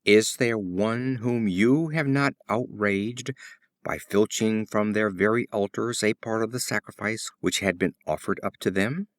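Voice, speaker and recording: A man's voice: lowish voice, dry recording, clean studio sound. A man reading a fable voices a stern mother kite, lamenting and scolding her son.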